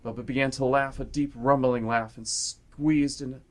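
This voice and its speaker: A man's voice: medium pitch, steady tone, moderate pace. A man reading scripted, dramatic story narration for a radio play.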